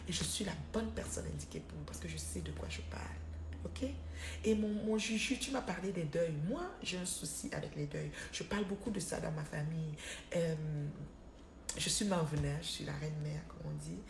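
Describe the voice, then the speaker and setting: A woman holds forth in a chatty live-stream monologue. Her voice sits a little low, and the room around her gives it an echo. She stresses her points, circles back to them and keeps restarting her sentences. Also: noisy background. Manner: emphatic, repetitive, with frequent restarts. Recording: roomy echo.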